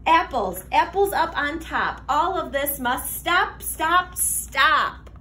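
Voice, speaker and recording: A young woman reading a children's picture book aloud like a teacher, expressive, sing-song and deliberate. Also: high voice, a little hiss or hum. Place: classroom, indoors.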